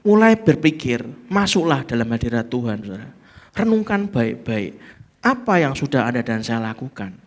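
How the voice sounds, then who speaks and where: A man's voice: mid-range voice, big pitch swings, normal speed. A man with a Javanese accent preaching a sermon as pastor, emphatic, calling the congregation to say amen and slipping into local phrases.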